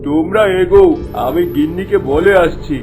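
A man acts out a scheming cartoon landlord with a high-pitched voice. He is lecherous, haughty and menacing, and sounds self-righteous as he lords it over villagers, with faint music underneath. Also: normal speed, close to an everyday voice. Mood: sly, persuasive. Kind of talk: cartoon voice acting.

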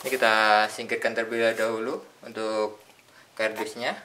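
Bright-voiced man explaining a tool. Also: lowish voice, little pitch movement, a little hiss or hum. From Indonesia. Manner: plain step-by-step explaining with pauses. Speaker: product reviewer. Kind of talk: unboxing product demonstration. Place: indoors at a tabletop.

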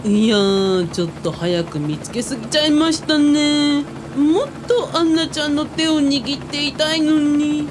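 A woman playing a goofy, lovestruck young male helicopter pilot in a comic radio drama, excitable and comically polite. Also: a little slow. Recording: noisy helicopter ambience behind voice. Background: helicopter rumble.

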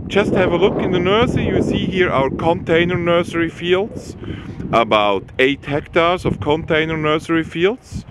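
Male nursery owner, halting second-language English, casual tour voice, faint outdoor noise. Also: a little high. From Switzerland. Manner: halting non-native English with frequent uh pauses. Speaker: nursery founder giving a tour. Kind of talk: casual walking tour narration. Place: outdoors at a nursery, cold wind. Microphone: camera mic, walking behind the camera.

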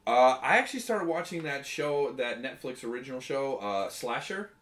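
An American man in a casual podcast chat, joking and a bit halting as he talks. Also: medium pitch, sing-song, a bit bright. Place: indoor room full of display cabinets. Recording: echoey room.

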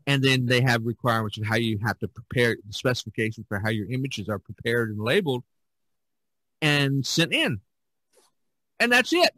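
Man, bright forceful voice, rambling chatty podcast host, middling pitch, dry close sound. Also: animated, normal speed, no room sound, rough recording. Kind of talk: casual podcast discussion.

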